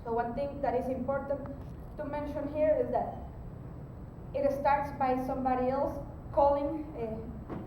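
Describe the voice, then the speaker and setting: A woman giving an explanatory technical presentation as a conference speaker, pausing hesitantly mid-sentence. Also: steady tone, highs cut off. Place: conference room, recorded from a distance. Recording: very echoey, distant mic, rumbling background noise.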